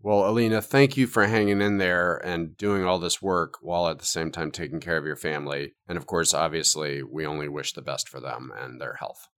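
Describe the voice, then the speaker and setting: A deep-voiced man hosts an economics podcast and lays out his points one by one in a calm, explanatory way. His voice sits very low, and the delivery stays measured throughout. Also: clear, resonant tone. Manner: measured, explanatory, lists takeaways. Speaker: podcast host. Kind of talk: economics interview and wrap-up.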